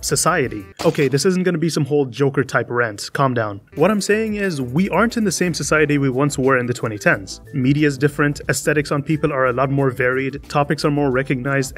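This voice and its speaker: A man narrates a scripted video essay. His voice sits on the high side and moves up and down animatedly. Soft music plays behind him.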